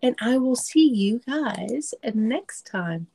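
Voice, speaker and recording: High-voiced woman reading to children, unhurried. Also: creaky, breathy edge. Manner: sing-song, expressive reading. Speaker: children's storyteller. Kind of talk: children's picture book read-aloud with asides to young listeners. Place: small room at home. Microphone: computer mic on a video call setup.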